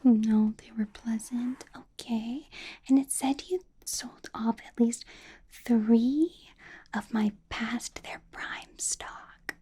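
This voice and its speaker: Woman acting slow, whispering ancient vampire boss. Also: on the high side, steady tone, slightly rough, exaggerated voice. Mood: attentive, doting. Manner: slow, drawn-out, coaxing, soft chuckles and repeated words. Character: ancient, doting, menacing female vampire boss. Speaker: ASMR roleplay performer. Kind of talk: whispered ASMR roleplay, personal attention.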